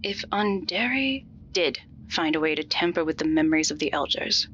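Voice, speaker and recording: Woman as severe, sharp-voiced vampire elder stateswoman. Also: sits low, expressive, moderate pace, muffled recording, slight background noise. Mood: disdainful. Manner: formal, menacing. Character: severe, impatient female vampire council member. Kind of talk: audio drama acting.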